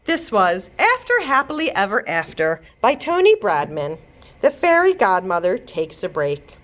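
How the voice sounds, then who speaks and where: A woman narrating an early chapter book aloud in an expressive storytime style. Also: medium pitch, a little slow. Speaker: children's library read-aloud reader.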